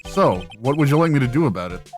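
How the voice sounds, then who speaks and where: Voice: medium pitch, sing-song, fast, faint music behind, pitched below the performer's own voice, natural voice. An amateur dubber voicing a weary, sardonic young male defense lawyer in a video game, dry and hesitant. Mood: dry, measured.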